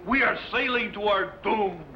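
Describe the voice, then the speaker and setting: A man's voice: high-pitched, normal speed. A man playing a bitter, superstitious, mutinous sailor with sneering, ominous, melodramatic old-time radio acting. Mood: bitter, doubtful. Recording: dull, noisy vintage radio recording.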